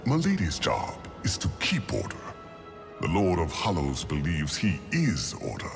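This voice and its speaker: Scheming game demon, theatrical, silver-tongued, wildly swooping pitch, loud music behind. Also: male voice, wildly swooping pitch, measured pace, vocal fry, exaggerated voice. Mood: scheming, conspiratorial. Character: smooth-talking scheming male demon, ambitious and mocking. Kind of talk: video game cutscene acting.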